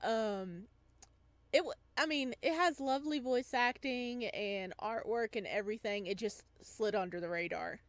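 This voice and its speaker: A woman's voice: a little high, a little slow, no room sound. A woman gaming streamer with a giggly, playful manner, reading visual novel text aloud and commenting as she plays.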